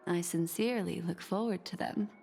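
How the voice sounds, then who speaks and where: A woman playing an enigmatic, soft-spoken fortune-teller in a video game, speaking in a measured, polite and alluring way. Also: lowish voice, creaky. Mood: gentle, enigmatic. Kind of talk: scripted video game character acting. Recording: music behind the voice.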